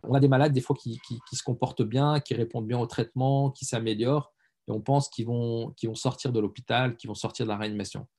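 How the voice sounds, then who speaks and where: A man's voice: medium pitch, steady tone, quick pace, a little mellow. A middle-aged man, a doctor talking as a guest in a casual interview, hesitant with many fillers and repeated words. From France. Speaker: guest, intensive care doctor.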